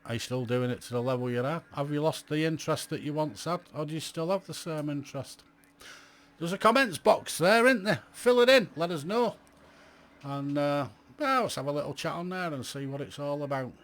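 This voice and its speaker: A man's voice: fairly high pitch, lively intonation, fairly quick, fairly bright tone. A middle-aged man, talking to viewers in a blunt, chatty, rambling vlog about his amateur radio hobby and asking them many questions. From England. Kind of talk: casual vlog monologue to viewers.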